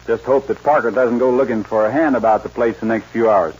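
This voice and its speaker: An actor playing a headstrong, daring young cowboy in a 1940s radio western. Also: male voice, medium pitch, a little fast, darkish tone, husky, lightly acted. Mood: determined. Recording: dull old radio recording, faint music.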